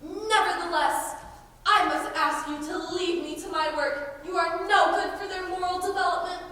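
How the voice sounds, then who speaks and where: A teenage girl playing a brisk, sanctimonious outlaw nun with a scolding manner in a school stage play. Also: very high-pitched, steady tone, bright, forceful tone. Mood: pious, scolding. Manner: pious, scolding, emphatic. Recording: distant, echoey stage recording with noise.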